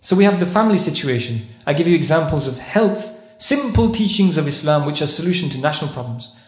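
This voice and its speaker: A man's voice: fairly high pitch, lively intonation, fast talker, faint music behind. A man giving a sermon-style lecture at a mosque, putting questions to the audience and reciting Arabic verses. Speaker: visiting speaker at a mosque conference. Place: carpeted mosque prayer hall, indoors. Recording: echoey mosque hall.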